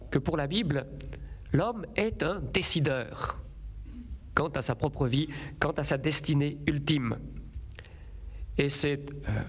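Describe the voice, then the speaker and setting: A man giving a measured spoken lecture on biblical theology, speaking phrase by phrase with occasional hesitations. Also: medium pitch.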